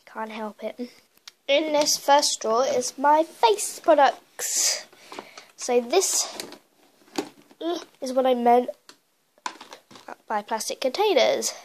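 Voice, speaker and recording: A young woman with a cold and a croaky voice, chatting casually with lots of fillers as she shows things in her bedroom. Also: sits high, takes it slowly, pauses often, darkish tone, a little hiss or hum. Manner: chatty, rambling with many fillers. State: hoarse from a cold. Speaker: beauty vlogger. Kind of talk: casual makeup collection tour. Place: bedroom at home, indoors. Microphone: phone camera held in hand.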